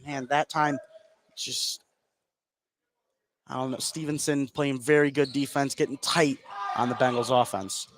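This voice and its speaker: A man's voice: mid-range voice, steady tone, measured pace, long pauses. A man working as a color commentator on live basketball, explaining plays in casual, conversational remarks. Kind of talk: live sports analysis, conversational.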